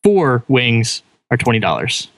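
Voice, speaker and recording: A man's voice: medium pitch, big pitch swings, unhurried, a bit bright, no echo. A man chatting casually on a podcast in an easygoing, slightly hesitant way. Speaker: podcast guest.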